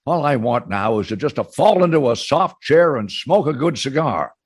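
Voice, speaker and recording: An actor playing a well-mannered, genial company boss in a radio comedy, hesitant and sputtering but firm. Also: male voice, medium pitch, lively intonation, moderate pace, slightly rough, no echo, clean studio sound. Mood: genial, overwhelmed. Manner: hesitant, sputtering, formal politeness. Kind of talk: old-time radio comedy acting.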